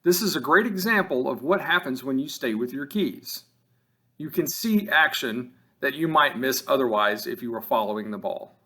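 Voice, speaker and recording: A man giving scripted instructional narration over game film like an officiating instructor, in measured, matter-of-fact short phrases. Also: mid-range voice, expressive, sharp tone.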